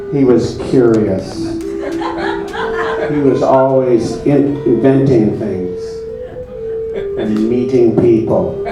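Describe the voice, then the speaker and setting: A man narrating a comedy puppet show on stage with mock solemnity, deadpan, pompous and halting, in a very echoey, distant recording. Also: medium pitch. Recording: very echoey, distant mic, music behind.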